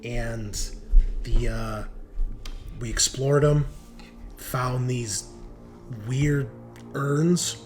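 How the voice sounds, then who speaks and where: A man's voice: mid-range voice, fairly even intonation, soft background music. A man playing a shaken, dazed crewman just out of cryosleep in a tabletop game, stammering through broken recollections. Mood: halting, uneasy. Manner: halting, stammering, fragmented recollection.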